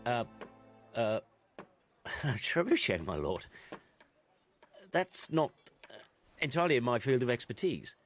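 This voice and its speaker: Flustered engineer, animated pitch. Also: male voice, unhurried, pauses often, soft background music. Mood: nervous, deferential. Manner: hesitant, stammering. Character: flustered, anxious medieval master engineer, humble, deferential. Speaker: mining engineer. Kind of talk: dramatic game cutscene acting. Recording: faint hiss and background noise.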